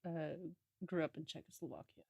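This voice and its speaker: A woman guest from Texas telling a casual story on a podcast, relaxed with hesitant fillers. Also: sits low, a little fast, gravelly, breathy edge, dry recording.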